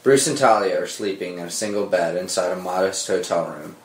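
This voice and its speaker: A man's voice: deep voice, brisk. A man narrating scripted screenplay directions at a table read. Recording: roomy echo under dramatic score music.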